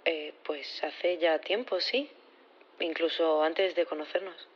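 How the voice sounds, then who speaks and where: Low-voiced, nervous female witness. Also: steady tone, highs cut off. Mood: hesitant, earnest. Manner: halting, unsure, stammering. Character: nervous, hesitant female science professor on witness stand. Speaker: actor in audio fiction. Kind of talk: naturalistic audio drama acting.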